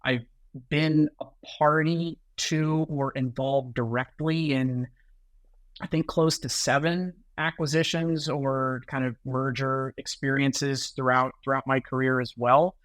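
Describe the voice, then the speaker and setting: A middle-aged American man answering interview questions in a relaxed, conversational way, often ending points with "right?". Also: medium pitch, measured pace, no room sound. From United States. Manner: conversational, repeats words and tags sentences with "right?". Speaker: guest, sales executive.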